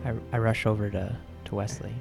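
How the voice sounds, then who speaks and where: A man's voice: on the low side, flat delivery, fairly quick, gravelly. A man playing a tabletop game, casually saying what his character does. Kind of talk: casual play-along chat. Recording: music playing behind the voice.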